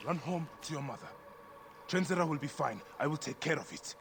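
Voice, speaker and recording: A man's voice: fairly high pitch, lively intonation, a little fast, a bit bright, a little hiss or hum. A man playing a protective warrior father and lawman, firm but loving, in a video game cutscene with music behind him. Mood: urgent.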